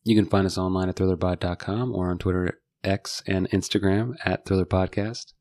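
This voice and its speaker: A man's voice: sits low, a little fast, no echo, clean studio sound. A man co-hosting a thriller book podcast, wrapping up the episode and reading out thanks to listeners. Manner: steady, reads out thanks and plugs. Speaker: podcast co-host. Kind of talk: podcast outro and sign-off.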